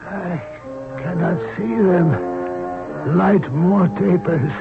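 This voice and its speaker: A slow, gravelly dying pharaoh. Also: male voice, high voice, animated, heavily acted. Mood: weak, commanding. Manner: slow, solemn, halting. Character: dying Egyptian pharaoh, solemn, commanding old king. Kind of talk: 1970s radio drama acting. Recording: muffled old radio broadcast, faint music.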